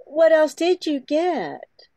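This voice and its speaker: Older woman playing a spirited daughter, high-pitched, slow delivery, emphatic, radio drama. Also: sing-song, mellow, heavily acted. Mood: shocked, indignant. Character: spirited, indignant grown daughter, protective of her mother. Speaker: amateur radio drama performer. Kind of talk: old-time radio play acting.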